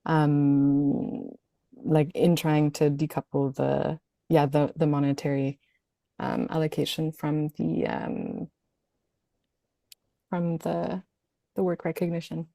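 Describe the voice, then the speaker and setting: A woman's voice: low voice, little pitch movement, slow pace, gravelly, pauses often, mellow, no echo. A woman speaking as a panelist, hesitant and full of fillers.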